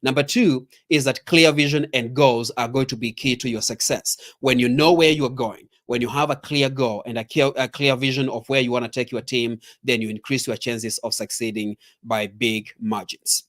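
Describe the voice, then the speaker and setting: A man's voice: medium pitch, fairly even intonation, moderate pace, hardly any pauses, a bit bright. A middle-aged man from Kenya with a Kenyan accent, giving a motivational training talk as a leadership coach, emphatic, repeating key points and addressing listeners directly.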